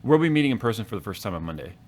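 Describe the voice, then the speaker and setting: A man's voice: fairly low pitch, sing-song, racing, darkish tone. A man in his thirties hosting a podcast, chatting casually and telling stories with a chuckle. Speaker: podcast host. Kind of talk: casual chat.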